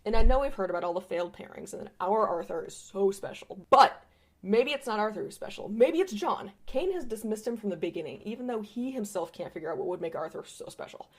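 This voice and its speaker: A woman's voice: medium pitch, lively intonation, fast. A woman delivering an impassioned fan-theory monologue as a voice message, dramatic and emphatic, with some swearing. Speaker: listener sending a voice message.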